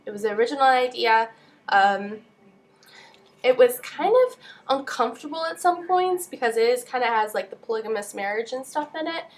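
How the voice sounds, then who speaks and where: A young woman with a nasal voice, stuffed up with a cold, chatting casually as she gives book reviews. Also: fairly high pitch. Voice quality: nasal, congested. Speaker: book vlogger.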